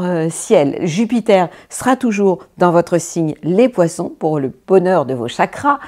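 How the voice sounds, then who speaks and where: A woman's voice: lowish voice, dark tone. A woman hosting a weekly horoscope in a chatty, bubbly way, full of fillers and little self-corrections. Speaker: astrologer, channel host. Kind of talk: casual weekly horoscope talk.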